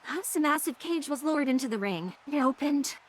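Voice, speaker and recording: A woman's voice: high-pitched, lively intonation, fairly quick. A woman narrating a scripted story as the narrator of an audio drama. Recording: clearly noisy background.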